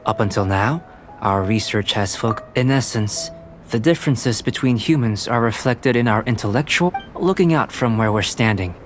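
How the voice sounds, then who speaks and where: A man voicing a calm, studious young alchemist in a video game, speaking in a measured, formal, detached way. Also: mid-range voice, lightly acted. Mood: composed. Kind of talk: video game character dialogue. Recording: game music behind the voice.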